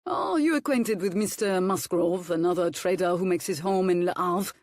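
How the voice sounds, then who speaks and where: A woman voicing a charming, sly viscount with a mild, smooth manner. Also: on the low side, moderate pace, a little mellow, no room sound, studio quality, lightly acted. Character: charming sly middle-aged French viscount.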